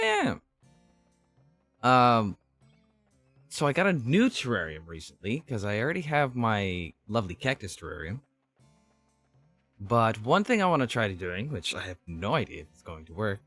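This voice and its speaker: A man hosting a let's play, his pitch leaping all over the place as he talks. He sounds casual and chatty, with a dry, close sound and faint music behind him. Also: dry recording. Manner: swinging, animated delivery. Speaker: gaming channel host.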